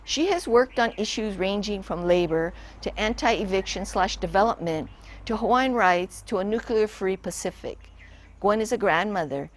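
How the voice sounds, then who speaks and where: A woman hosting an interview show, reading a measured introduction and then prompting her guest with frequent affirmations. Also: mid-range voice. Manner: warm, encouraging listener with frequent affirmations. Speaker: podcast host and interviewer.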